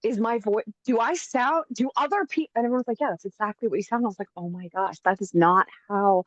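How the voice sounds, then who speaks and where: A woman co-hosting a casual podcast chat, talking in an animated, emphatic way and getting motivational. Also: medium pitch, a little fast, no echo, highs cut off. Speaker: podcast co-host.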